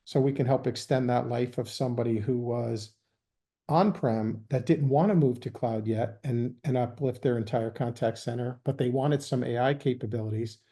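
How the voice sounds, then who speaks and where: A man with a dark, mellow voice talks through business topics at an even, measured pace. He fills his explanations with ums and uhs as he goes. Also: medium pitch. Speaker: guest, sales executive. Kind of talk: business interview.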